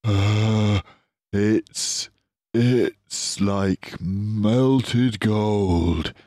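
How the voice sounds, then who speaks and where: A man's voice: on the low side, extremely slow, warm tone, no echo, clean studio sound, pitched above the performer's own voice. A man voicing a hearty adult male badger in a storybook, savouring his words and drawing them out. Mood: savouring wonder.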